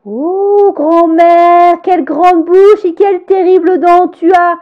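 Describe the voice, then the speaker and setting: A woman voicing a sweet, obedient little girl in a storybook tale, high and eager. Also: steady tone, takes it slowly, highs cut off. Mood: wondering. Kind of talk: storybook character voice.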